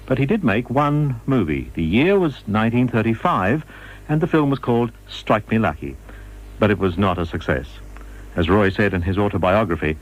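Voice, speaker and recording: A man's voice: lowish voice, rough recording. A man presenting a radio documentary in scripted narration.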